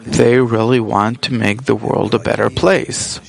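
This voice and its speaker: A man interpreting a spiritual lesson live into English, speaking in measured, halting phrases with pauses mid-sentence. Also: mid-range voice, fairly even intonation, gravelly. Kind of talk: simultaneous interpretation from another language.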